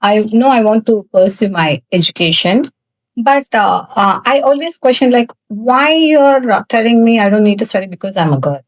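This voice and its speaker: A woman from South India whose first language is Tamil, telling her personal story as a guest in a podcast interview, candid, with frequent laughs and halting fillers. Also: a little high, lively intonation, dry recording, poor audio. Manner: candid, laughs often, pauses with many fillers. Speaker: guest, cosmetics founder.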